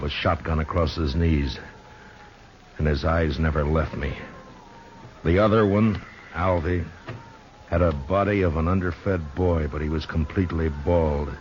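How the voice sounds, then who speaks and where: An actor playing a weary, steady frontier lawman narrating a radio western in a measured, grave way. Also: rumbling low. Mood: grave, watchful. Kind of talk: dramatic old-time radio western narration. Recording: dull, noisy old radio recording.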